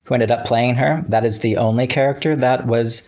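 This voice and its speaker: A man's voice: medium pitch, fairly even intonation, moderate pace, darkish tone. A man chatting casually as an interview guest, a writer who talks in stops and starts and often acts out bits of past conversations. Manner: chatty, hesitant false starts, quoting himself conversationally.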